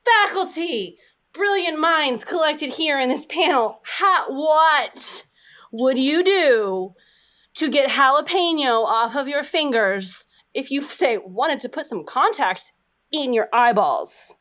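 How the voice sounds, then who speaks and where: A woman's voice: high-pitched, lively intonation, slow pace, fairly bright tone. A woman telling comic anecdotes in casual chat and putting questions to a panel, with playfully dramatic emphasis. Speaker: panel professor posing quiz question.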